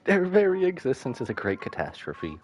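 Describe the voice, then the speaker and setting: A man's voice: mid-range voice, huge pitch swings, brisk. A man streaming a game with casual reaction commentary, informal, muttering asides and tossing out exclamations.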